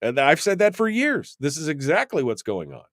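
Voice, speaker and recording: A man's voice: a little high, huge pitch swings, moderate pace, a bit bright, no echo, clean studio sound. A man hosting a talk radio show, reading listener comments aloud and answering them with opinionated, rambling remarks full of ums and restarts.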